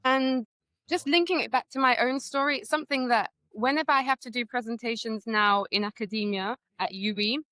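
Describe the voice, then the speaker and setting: A woman's voice: fairly high pitch, fairly quick, pushed and bright, dry recording. A woman scholar from Trinidad, a guest in a conversation, reflectively recounting a personal story. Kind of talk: conversational interview.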